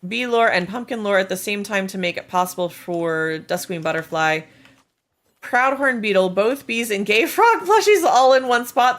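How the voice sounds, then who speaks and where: A woman with a slightly hoarse, gravelly voice, chatting casually with viewers on a game live stream while reading and answering chat. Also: medium pitch, a bit bright. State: slightly hoarse, losing her voice.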